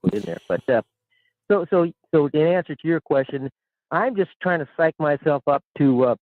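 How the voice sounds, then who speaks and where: A man's voice: a little high, expressive, normal speed, no echo. Casual older man in his sixties, rambles and repeats, thin phone-line audio. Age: 60s. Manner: rambling, repeats words, chuckles. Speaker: caller. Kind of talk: call-in radio chat. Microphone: telephone.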